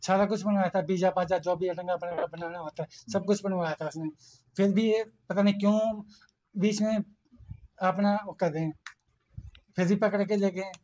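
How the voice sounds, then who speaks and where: A man's voice: sits high, steady tone, moderate pace, a little mellow. An older man giving an unscripted news interview, talking colloquially and repetitively as he protests. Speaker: father interviewed by reporters.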